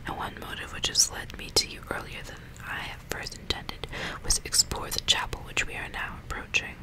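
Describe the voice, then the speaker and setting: A woman reading the part of a grim, vengeful old male general in a storybook, in a breathy, deliberate whisper. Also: close to an everyday voice. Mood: solemn.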